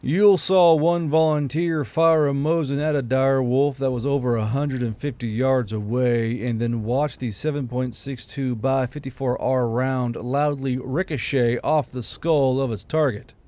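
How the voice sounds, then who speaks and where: A man's voice: medium pitch, fairly even intonation, measured pace, hardly any pauses, dark tone, no echo. A man narrating an audiobook story in a dramatic, scripted style.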